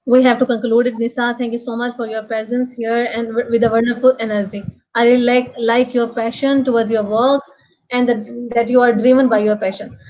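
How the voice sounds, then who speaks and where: A woman with a Pakistani accent hosting an online show, speaking English as a second language in a halting, repetitive, effusive way. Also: on the high side, level tone, a little mellow. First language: not English. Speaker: host. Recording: dull, lo-fi online call audio.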